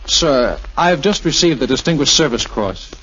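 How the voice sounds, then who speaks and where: Brash young pilot, dull vintage sound. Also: male voice, on the high side, lively intonation, moderate pace, a bit bright, husky. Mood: earnest, pleading. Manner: breezy, wisecracking. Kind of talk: 1940s radio acting. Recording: muffled vintage 1940s radio recording.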